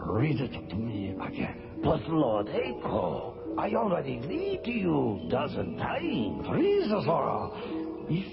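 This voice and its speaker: A man playing gloating, scheming villains, an imperial warlord and his fawning servant, in a theatrical, drawn-out radio drama style. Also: on the high side, huge pitch swings, creaky, heavily acted. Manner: theatrical, drawn-out, swooping delivery. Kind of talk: old-time radio drama acting. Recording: dull radio recording with loud music.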